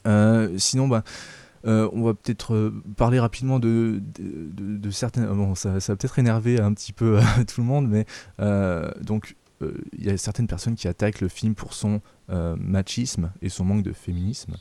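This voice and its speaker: A man's voice: on the low side, steady tone, normal speed, gravelly, warm tone, no room sound. A man from France hosting a casual film review chat, hesitant and opinionated, with many fillers and restarts. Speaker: film review show host.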